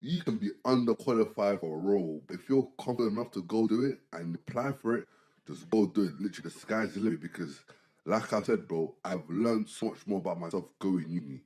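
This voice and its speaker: A man talks fast and animatedly as a podcast co-host, peppering his sentences with "bro" and "like". His voice sits low and sounds dark and mellow. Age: 20s. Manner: animated, repeats "bro" and "like", restarts a lot. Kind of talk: casual chat.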